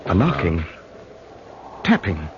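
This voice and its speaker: An actor playing a polite, uneasy gentleman passenger in old-time radio horror style, with a courteous, earnest delivery. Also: low voice, very animated, slow pace, full character voice. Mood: alarmed, urgent. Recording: muffled vintage radio recording, faint noise.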